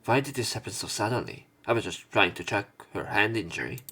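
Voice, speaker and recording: A man's voice: lowish voice, expressive, fairly quick, pushed and bright, husky. A man reading visual novel text aloud and breaking off for casual, sweary let's play commentary. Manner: casual, sweary interjections between read lines.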